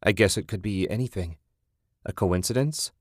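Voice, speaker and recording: A man voicing a shaken, nervous man in a horror audiobook, speaking haltingly and uneasily. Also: fairly low pitch, no echo, clean studio sound, lightly acted. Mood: uneasy. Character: shaken, nervous man puzzling over eerie numbers.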